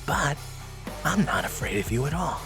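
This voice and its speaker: A man playing a tough, cool and dangerous character in an audio drama, with a measured delivery. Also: fairly high pitch, very animated, over music. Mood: cool taunting. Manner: measured, taunting.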